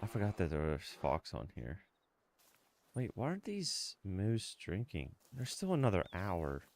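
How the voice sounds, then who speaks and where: Deep-voiced congested man, casual game streamer. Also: huge pitch swings, a little slow, darkish tone. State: congested, sniffly. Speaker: game livestreamer. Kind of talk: casual livestream chat with gameplay commentary. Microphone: close streaming microphone.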